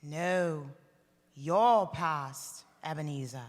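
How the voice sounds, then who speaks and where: A woman playing a calm, stern, ageless spirit in live radio theater, speaking in a measured, solemn, commanding way. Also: low-pitched. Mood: calm, grave. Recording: reverberant live theater hall.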